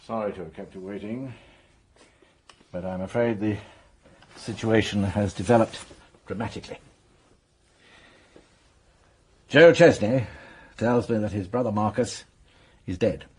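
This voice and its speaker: An actor playing a sober police major in a radio mystery, speaking in a measured, serious way with a slightly husky voice. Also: male voice, on the low side. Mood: grave, shaken. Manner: measured, serious, matter-of-fact. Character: sober, businesslike male police major briefing colleagues. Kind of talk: radio mystery drama dialogue.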